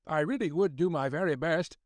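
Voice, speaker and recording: Guy voicing eager young reporter in his twenties, polite, earnest, kinda high voice, audiobook. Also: a little fast, dry recording, close to an everyday voice. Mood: earnest, pleading. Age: 20s. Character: ardent, eager young male newspaper reporter. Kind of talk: audiobook character dialogue.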